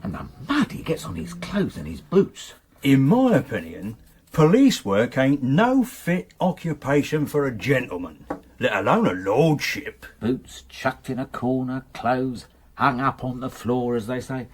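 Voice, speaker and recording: Radio drama: opinionated working-class English male servant, grumbling, animated intonation. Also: medium pitch, measured pace, slight background noise. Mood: disapproving.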